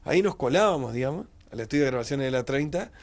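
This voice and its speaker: Radio guy in his 50s, talks smooth and steady about his career, small studio. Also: mid-range voice, fast. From Uruguay. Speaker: radio host. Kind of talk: interview answers, recounting career.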